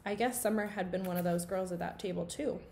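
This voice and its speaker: A woman reading a children's novel aloud in an expressive storytelling style. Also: lowish voice, fast, vocal fry, a little hiss or hum.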